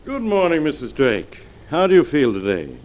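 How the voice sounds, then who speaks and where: An actor playing a courteous, deferential attorney in a 1940s radio drama. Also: male voice, a little high, sing-song, normal speed, darkish tone, faint music behind. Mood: polite. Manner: polite, deferential. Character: courteous attorney of a rich old woman.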